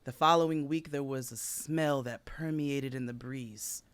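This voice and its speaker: A man's voice: mid-range voice, steady tone, a little slow, a bit bright, clean tone, no room sound. A man co-hosting a podcast, telling a made-up history tale in a mock-solemn comic way. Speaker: podcast co-host. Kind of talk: comic tall-tale storytelling.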